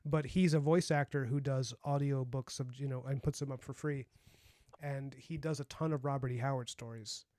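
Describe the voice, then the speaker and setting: A man's voice: mid-range voice, fairly even intonation, moderate pace, warm tone, no echo. A young man with a light, youthful voice, chatting casually on a livestream and rambling with lots of fillers. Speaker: streamer and comic artist.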